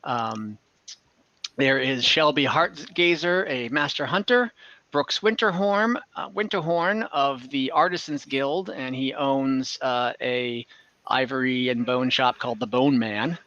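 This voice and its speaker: A man acting as game master in an online game, narrating off the cuff in a halting, conversational way. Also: medium pitch, pushed and bright, no room sound, muffled recording. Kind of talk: improvised narration and table talk in an online tabletop game.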